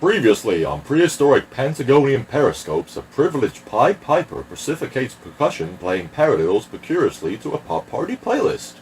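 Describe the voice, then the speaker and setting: A man voicing a brisk, dramatic television recap announcer reading a tongue-twisting script. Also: medium pitch, big pitch swings, a little mellow, slight background noise, low-quality recording.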